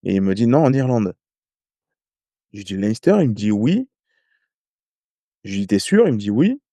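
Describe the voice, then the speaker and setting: A French man in his thirties, a rugby player guest, telling stories in casual podcast talk, halting with many hesitations and restarts. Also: fairly low pitch, sing-song, dark tone, no room sound. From France. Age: 30s. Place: home in Ireland.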